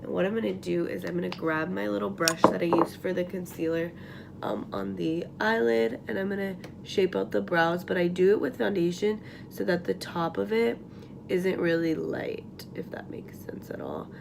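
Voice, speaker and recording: A young woman with a raspy morning voice chatting casually through a makeup tutorial at home, full of likes and ums and trailing off into whispers. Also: fairly low pitch, steady tone, moderate pace, noisy background. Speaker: beauty vlogger. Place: at home, getting ready.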